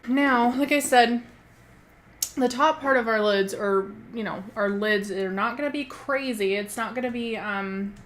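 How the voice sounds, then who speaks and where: Woman, hoarse from a cold, rambling makeup tutorial chat, lots of ums. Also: a little high, a little slow, fairly bright tone, a little hiss or hum. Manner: chatty, rambling, many ums. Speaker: beauty vlogger.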